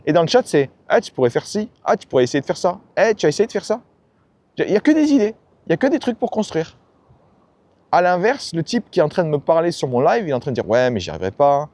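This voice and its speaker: A man's voice: on the high side, sing-song, quick pace, darkish tone, no room sound. A man giving a blunt, slangy motivational talk about ambition and failure, often acting out the people he quotes. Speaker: self-help YouTuber. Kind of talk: casual motivational monologue.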